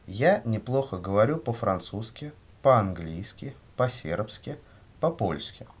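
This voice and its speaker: A man reading scripted language-course answers in a measured, carefully enunciated way. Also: lowish voice, big pitch swings, husky. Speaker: language lesson reader.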